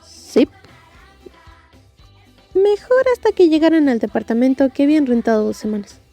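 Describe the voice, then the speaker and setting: A woman's voice: sits high, big pitch swings, normal speed, dark tone, faint music behind. A woman with a Mexican accent narrating an audiobook story in a wry, scripted style.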